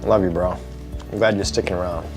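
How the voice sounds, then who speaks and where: A man's voice: low-pitched, fairly even intonation, fairly quick, over music, lightly acted, low-quality recording. A man acting a teasing, affectionate brother in a radio advertisement dialogue. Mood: fond banter.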